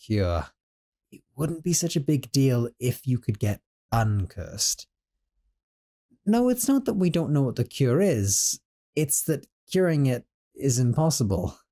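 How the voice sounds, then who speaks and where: A man voicing a gentle, nervous young sorcerer roommate in an audio roleplay, talking in a soothing, hesitant way with stammers. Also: mid-range voice.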